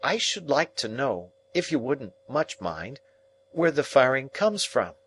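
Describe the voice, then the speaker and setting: A man's voice: mid-range voice, sing-song, unhurried, fairly bright tone, slight background noise, pitched above the performer's own voice. A man voicing a small, curious and timid boy who keeps asking questions. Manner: hesitant, questioning.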